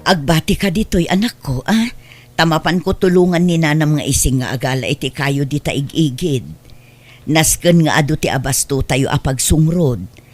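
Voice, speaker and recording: A woman with an Ilocano accent playing an anxious, secretive middle-aged rural mother in an audio drama, with a husky voice. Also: sits low, normal speed, darkish tone. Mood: caring, instructive. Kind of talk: Ilocano radio-style drama acting.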